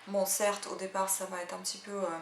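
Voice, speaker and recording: Woman, casual halting talk with many fillers, echoey room, faint background hum. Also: mid-range voice, completely flat delivery. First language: Russian. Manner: chatty, hesitant, full of "euh" fillers. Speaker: language-learning channel host. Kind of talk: casual spoken language tips and read-aloud practice.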